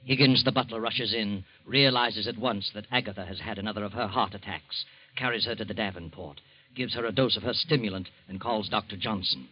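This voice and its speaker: A man narrating a radio drama in a grave, measured voice. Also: on the low side. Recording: dull old radio recording with faint music.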